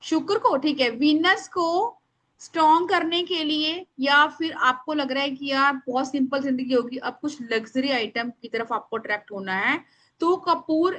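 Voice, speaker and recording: A woman leads a live online class in a high, sharp, strong voice that carries loudly. She explains informally yet emphatically, addressing her listeners directly and slipping English words into her speech. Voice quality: loud, strong voice. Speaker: astrology remedies teacher.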